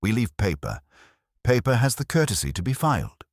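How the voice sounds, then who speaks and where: A man's voice: mid-range voice, huge pitch swings, brisk, no echo, studio quality, pitched above the performer's own voice. A man voicing a prim, imperious older English widow who speaks in precise, formal aphorisms for an audio mystery drama. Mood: dry, self-assured.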